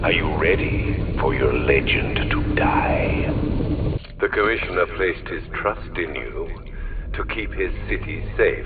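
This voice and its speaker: A man playing a menacing, coldly intellectual supervillain in a video game, delivering grandiose monologues in a deliberate, sinister way with a breathy, hushed edge. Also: low-pitched, phone-quality sound, background noise, heavily acted. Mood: taunting, ominous. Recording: echoey, band-limited radio-like sound, loud music. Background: loud music.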